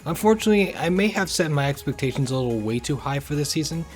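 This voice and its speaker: A man's voice: a little high, lively intonation, fast, faint music behind. A man giving casual, opinionated commentary in the style of a scripted online video essay. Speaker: YouTube reviewer.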